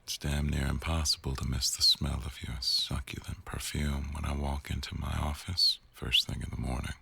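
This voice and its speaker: A man playing a teasing, bossy but doting newsroom boss in an intimate boyfriend roleplay audio, with a wry, unhurried delivery. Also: very deep voice, flat delivery, gravelly, pushed and bright, breathy edge, no echo, heavily acted. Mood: fond, teasing.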